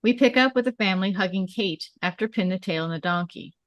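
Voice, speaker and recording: A woman's voice: medium pitch, fast talker, no echo, highs cut off. A woman reading stage directions aloud as scripted narration at a script table read.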